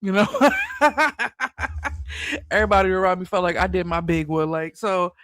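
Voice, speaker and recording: A man's voice: sits high, fairly even intonation, normal speed, a bit bright, clean tone, no room sound, studio quality. A man hosting a casual commentary channel, talking loosely with a slight lisp and a bit of a stutter. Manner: stutters a little, loose and chatty.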